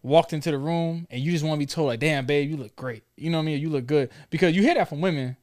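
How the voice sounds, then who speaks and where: A man's voice: fairly high pitch, quick pace, darkish tone. A man in his thirties hosting a casual conversation, talking in an informal, slangy, probing way. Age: 30s. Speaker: talk show host.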